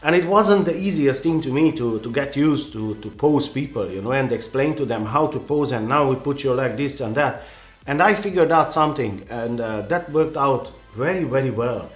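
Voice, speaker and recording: A man's voice: mid-range voice, expressive, normal speed, reverberant, soft background music. A man with a Hungarian accent, a native Hungarian speaker, giving earnest, rambling photography advice with many restarts and "you know"s in a casual vlog.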